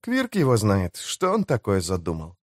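A man voicing a wary young woman in an audiobook, speaking in a hushed, cautious manner. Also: fairly low pitch, huge pitch swings, normal speed, no room sound, studio quality. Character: wary young female companion of a pirate captive.

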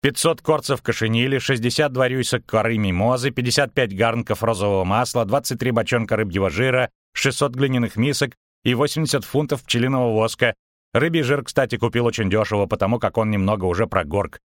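Smug shapeshifter in halfling merchant form, male narrator acting: calm, proud, explanatory, dry close sound, storybook fantasy. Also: fairly low pitch, quick pace, hardly any pauses, no echo, natural voice. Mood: smug, matter-of-fact. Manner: self-assured, explanatory. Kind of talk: audiobook character acting.

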